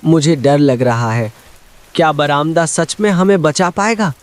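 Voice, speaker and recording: A man's voice: on the high side, expressive, measured pace. A man voicing a frightened little village boy in a cartoon story, speaking in a pleading, fearful manner. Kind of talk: dramatic cartoon story dialogue.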